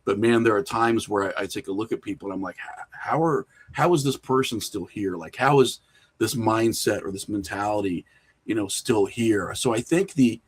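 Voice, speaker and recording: A man's voice: lowish voice, moderate pace, slightly rough. A middle-aged man chatting casually as a podcast guest, candid and self-mocking, with loose profanity. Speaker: podcast guest, horror filmmaker and writer. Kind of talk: casual interview chat.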